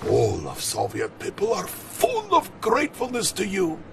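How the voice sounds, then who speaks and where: An actor playing a jovial, pompous middle-aged Soviet premier with a Russian accent in a video game cutscene. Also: male voice, high-pitched, huge pitch swings, vocal fry, background noise, full character voice. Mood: rousing, triumphant. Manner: pompous, theatrical, slow deliberate delivery.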